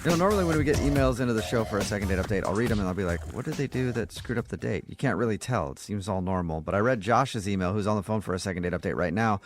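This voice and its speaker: A man's voice: lowish voice, lively intonation, rapid-fire, few pauses, faint music behind. A man hosting a radio show, bantering with callers in a teasing, joking way.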